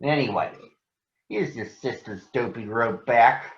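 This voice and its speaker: A man's voice: medium pitch, expressive, unhurried. A man voicing a big swaggering bully bear in a storybook, with a mocking, taunting delivery. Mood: cocky, coaxing. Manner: taunting, sneering. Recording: roomy echo, dull lo-fi sound.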